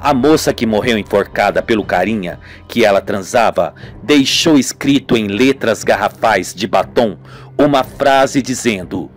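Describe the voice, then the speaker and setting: A man's voice: lowish voice, animated, unhurried, voice pushed down. A man voicing an earnest, weary police inspector in an amateur radio soap, over music. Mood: grave, intrigued. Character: weary, earnest male police inspector recounting grim cases.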